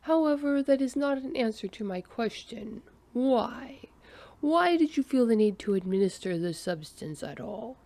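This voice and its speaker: A woman voicing a methodical male alien official who questions slowly and carefully. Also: on the high side, lively intonation, creaky, warm tone, voice pushed up. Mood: probing. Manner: slow, careful, probing questions.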